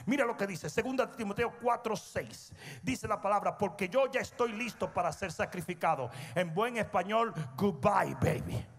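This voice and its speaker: Raspy, high-pitched fervent preacher. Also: male voice, lively intonation, normal speed. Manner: fervent preaching that swings from hushed asides to shouted peaks; prompts the crowd for responses. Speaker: pastor. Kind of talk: sermon. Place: large church stage before a seated audience. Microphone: handheld microphone. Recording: long hall reverb with faint music.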